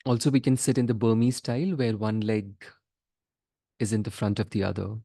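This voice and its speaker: A man leading a guided meditation as a teacher, giving measured instructions in short phrases with pauses between them. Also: on the low side, little pitch movement, vocal fry, warm tone, no room sound, studio quality.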